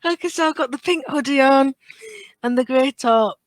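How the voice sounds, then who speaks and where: A British woman in her thirties chatting casually and telling stories in an animated, chatty way. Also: high-pitched, moderate pace. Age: 30s. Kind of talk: casual conversational chat.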